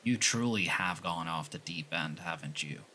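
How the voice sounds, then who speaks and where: A man's voice: low voice, normal speed, very noisy, close to an everyday voice. A man playing a stern, loyal older gangland retainer in an audio drama, firm and reproachful. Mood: stern defiance. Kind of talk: ASMR roleplay audio drama acting.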